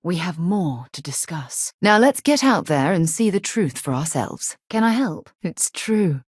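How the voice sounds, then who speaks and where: An actress playing a principled, commanding middle-aged woman explorer and former naval officer in a video game, speaking in a crisp, articulate, earnest way. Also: on the low side, big pitch swings, unhurried, no room sound, clean studio sound, heavily acted. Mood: composed, earnest. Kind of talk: acted video game companion dialogue.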